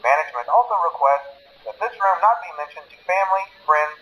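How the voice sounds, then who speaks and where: A man playing an awkward, polite corporate trainer in a video game, leaving deadpan workplace instructions through a telephone filter. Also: fairly high pitch, brisk. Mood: awkward, matter-of-fact. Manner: hesitant greetings, then polite deadpan procedural delivery. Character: nervous, cheerful corporate phone trainer at a pizzeria. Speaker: employee training caller. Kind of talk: recorded workplace training message. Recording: muffled lo-fi phone recording with faint noise.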